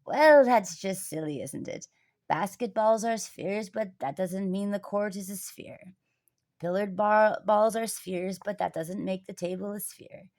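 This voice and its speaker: An earnest storybook grandfather played by a woman explains great secrets to his grandson. He is jovial at heart but deliberate and serious in how he lays things out. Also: mid-range voice, darkish tone, lightly acted. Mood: teasing, explaining. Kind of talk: children's storybook reading.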